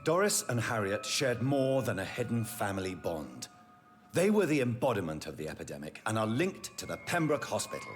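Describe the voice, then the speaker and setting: Over clear background music, a brooding vampire doctor in a dramatic game cutscene. The voice is fairly low with wide, expressive movement. He sounds stern, formal and accusing. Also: brisk. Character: grave, accusing English gentleman vampire doctor, brooding and righteous.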